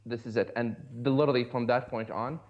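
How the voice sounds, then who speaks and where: A man's voice: mid-range voice, fairly even intonation, quick pace, highs cut off. A man from Iraq, in his thirties, telling stories casually as a podcast guest, often repeating words as he searches for phrasing. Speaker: podcast guest, cosmetic dentist. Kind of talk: casual conversational storytelling.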